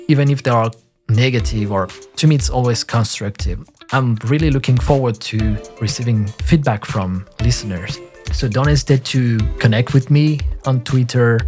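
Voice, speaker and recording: A man with a thick French accent hosting a solo podcast, talking in a relaxed, halting way with frequent restarts. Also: mid-range voice. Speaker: podcast host.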